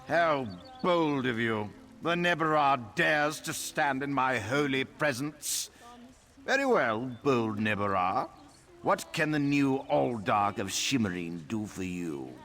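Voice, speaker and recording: An actor voicing a haughty, sneering elf high priest in a video game, condescending and theatrically sarcastic. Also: male voice, fairly bright tone, full character voice. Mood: haughty disdain. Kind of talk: video game character acting.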